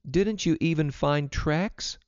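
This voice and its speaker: Man voicing an excitable little country girl, pitched high. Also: takes it slowly, no room sound, lo-fi sound. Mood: eager.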